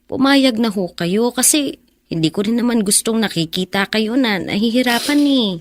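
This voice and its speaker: An actress playing a shy, dutiful nineteen-year-old with a Filipino accent, polite and earnest, in a radio drama. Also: female voice, a little high, animated, moderate pace, dry recording, natural voice. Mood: pleading, sorrowful.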